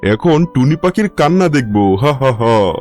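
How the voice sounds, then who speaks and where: A spiteful crow villain from a children's cartoon, played by a man. He is menacing and gloating, with an emphatic delivery in a medium-pitched male voice. Soft music sits behind him. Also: sing-song, normal speed, lightly acted. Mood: gleeful malice.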